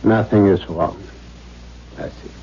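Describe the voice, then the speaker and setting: A man's voice: deep voice, warm tone, slightly rough, heavily acted. An actor playing a cold, domineering, aging father in a 1970s radio drama, stern, formal and suspicious. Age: older. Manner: stern, formal, deliberate, menacing. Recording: dull vintage radio recording.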